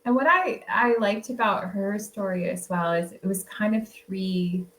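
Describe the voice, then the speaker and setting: A woman's voice: medium pitch, measured pace, crowd noise, poor audio. A woman author, a guest, telling travel stories in a casual, chatty way and laughing often. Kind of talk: casual storytelling.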